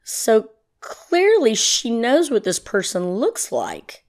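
A woman's voice: on the high side, wildly swooping pitch, takes it slowly. A woman host giving informal commentary, speaking to listeners about audio clips she introduces.

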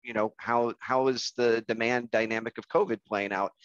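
A man's voice: medium pitch, fairly even intonation, moderate pace, dry recording. An American man in his forties giving an informal business presentation on a video call, conversational and self-deprecating. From Kansas City, United States. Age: 40s. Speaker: coffee industry research presenter. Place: indoor room, on a video call. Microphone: video call microphone. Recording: dull, compressed video call audio.

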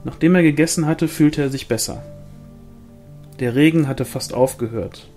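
A man's voice: a little high, moderate pace, warm tone. Man reading a novel over music. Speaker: audiobook reader. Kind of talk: scripted narration of a fantasy novel.

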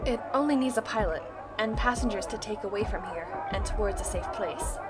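A woman playing a nervous, flustered young survivor in a sci-fi audio drama, hesitant and excitable. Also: mid-range voice, brisk, natural voice. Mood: hopeful. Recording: music and crowd ambience behind.

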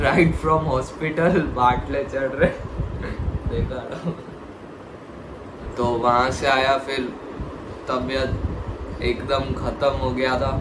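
A young man vlogging to the camera from a hospital room, telling his story in a relaxed, plain way. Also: mid-range voice. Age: young adult. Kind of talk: casual vlog talk to camera. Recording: echoey room with loud background noise.

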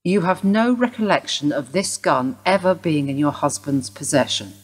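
A woman acting in a radio play as a stern police detective, formal, clipped and insistent, with a husky voice. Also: sits low, animated, normal speed. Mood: formal, probing. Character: stern, probing female police detective inspector.

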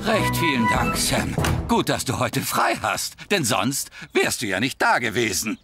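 A man voicing an excitable, flustered townsman in a children's cartoon dub. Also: mid-range voice, measured pace, over loud music.

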